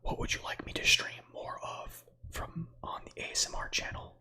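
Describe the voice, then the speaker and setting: A man in his thirties whose first language is Slovak, whispering softly as an ASMR streamer who reads space facts with chatty, meandering asides to viewers. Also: normal speed. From United States. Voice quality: breathy whisper.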